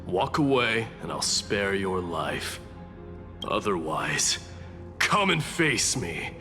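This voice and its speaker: A proud and menacing warrior prince speaks with slow, commanding weight. His stern delivery swings widely in pitch over loud music. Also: sing-song, exaggerated voice. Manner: commanding, deliberate, challenging.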